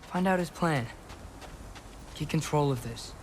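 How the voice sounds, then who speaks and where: A man's voice: fairly high pitch, a little slow, noisy background, lightly acted. A young man voicing a defiant, anguished teenage boy in a video game, impassioned and often talking to himself. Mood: anxious, musing. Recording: studio voice under game music.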